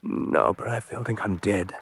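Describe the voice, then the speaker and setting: Hunted American secret agent, desperate, intense, urgent pleading, dramatic radio play delivery. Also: male voice, lowish voice, moderate pace, vocal fry, husky, full character voice. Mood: haunted.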